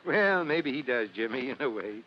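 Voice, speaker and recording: A man's voice: mid-range voice, animated, moderate pace, lightly acted. A man playing a kindly, firm fire chief who speaks in a probing, avuncular way, in 1950s radio drama. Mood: amused, kindly. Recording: muffled, noisy old radio recording.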